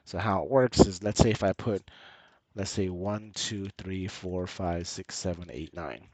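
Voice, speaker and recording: A man's voice: low-pitched, unhurried, darkish tone, a little hiss or hum. A man with a slight cold, narrating a casual screen-share tutorial in a hesitant, repetitive explaining way. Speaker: channel host and tutorial presenter.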